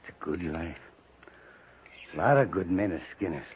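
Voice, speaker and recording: An actor playing a coarse, boastful buffalo skinner in a 1950s radio western, talking in a rough, folksy, rambling frontier manner. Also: male voice, low-pitched, lively intonation, normal speed, phone-quality sound, heavy background noise. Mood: gruff, guarded. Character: boastful, earthy old-West buffalo skinner, coarse and relishing. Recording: thin, band-limited 1950s radio recording.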